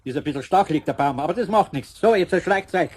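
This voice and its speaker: An actor playing a gruff but kindly older craftsman in a radio play, with a husky voice and a folksy, scolding Bavarian way of talking. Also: fairly high pitch, expressive, fast talker. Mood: gruff, relenting.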